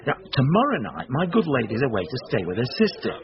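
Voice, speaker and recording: A man's voice: medium pitch, wildly swooping pitch, quick pace, heavy background noise. An actor playing a boastful, slyly confiding self-made businessman with a self-important manner in a radio drama. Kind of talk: British radio drama acting. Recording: dull lo-fi radio recording.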